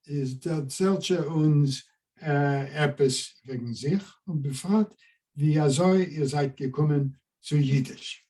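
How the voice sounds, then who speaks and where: An older man interviewing over a video call, asking questions slowly with hesitant fillers. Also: on the high side, dark tone. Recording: distant video-call audio.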